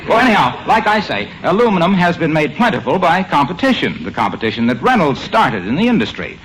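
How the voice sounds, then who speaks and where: An actor playing a chummy, persistent salesman pal, giving a sponsor pitch inside an old radio comedy. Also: sing-song, a little fast, fairly bright tone. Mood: persuasive. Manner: chummy, persuasive. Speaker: radio show announcer and pitchman. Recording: noisy old radio broadcast recording.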